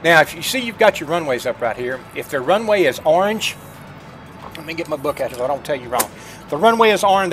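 A middle-aged man giving casual, folksy gameplay commentary, chatting informally to his viewers. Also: fairly high pitch. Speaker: gaming streamer. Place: indoors at a computer desk, webcam.

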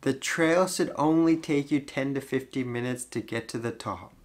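A young man narrating his road trip vlog in a relaxed, easygoing way. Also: medium pitch, moderate pace, noisy background. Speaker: travel vlogger.

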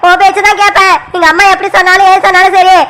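A woman's voice: extremely high pitch, monotone, racing, background noise. A woman playing an aggrieved young wife who pleads and reproaches her husband in a family skit. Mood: reproachful.

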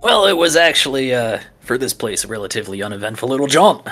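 A man acts out a self-assured old tinkerer in a tabletop game. He talks in a laconic, folksy way at a middling pitch, with music playing underneath. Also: big pitch swings, quick pace, sharp tone, heavily acted. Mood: easygoing. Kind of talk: tabletop roleplay in character.

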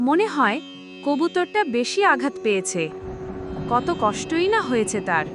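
A woman voicing a kind-hearted, eager young village girl in a cartoon, with an earnest, expressive manner. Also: high-pitched, unhurried, music in the background. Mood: concerned, tender. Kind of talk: cartoon dialogue acting.

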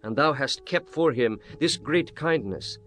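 A man's voice: mid-range voice, lively intonation, measured pace, fairly bright tone, soft background music. An actor playing a stern, commanding young king in a dramatized scripture reading, with solemn, declamatory delivery and archaic formal phrasing. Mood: reverent.

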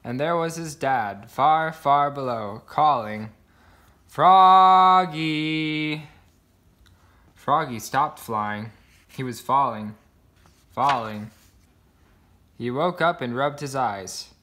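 Slow-paced man reading a picture book. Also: medium pitch. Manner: expressive sound words. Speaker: storybook reader. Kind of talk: children's picture book read-aloud.

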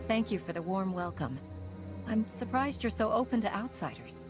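An actress playing a steady, earnest warrior-monk heroine in a video game, speaking firmly. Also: female voice, medium pitch, moderate pace, over music, close to an everyday voice. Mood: polite, direct. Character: steady, determined woman warrior-monk hero.

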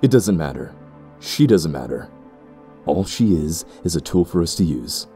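A man's voice: sits low, big pitch swings, measured pace, warm tone, soft background music. A man playing a cold, condescending and ruthless warrior clansman in an audio roleplay, speaking in a haughty, formal way. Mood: angry, forceful. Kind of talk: scripted audio roleplay acting.

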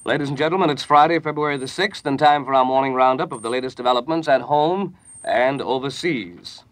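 An American man reading the news as a formal, measured 1940s radio anchor in a newsroom studio. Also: mid-range voice, no echo.

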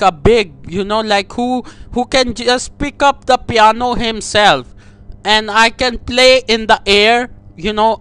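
A man making a comedic prank phone call, talking in a halting, coy, over-affectionate way. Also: high voice, bright, forceful tone, clear, resonant tone. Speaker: prank caller.

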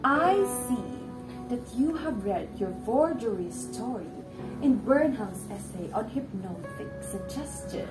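A woman's voice: on the high side, animated, unhurried. A young woman playing a proud, accusing woman in a stage play, declaiming emphatically. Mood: accusing, impassioned. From Philippines. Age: young adult. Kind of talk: theatrical stage acting of a classic play. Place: indoor living-room stage set. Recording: roomy, distant microphone, music behind.

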